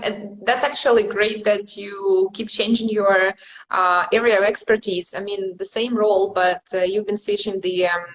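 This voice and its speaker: A woman hosting a casual interview as a career coach. She sounds encouraging and fills pauses with um. Her pitch is in the middle range. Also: low-quality recording. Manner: encouraging, with frequent ums.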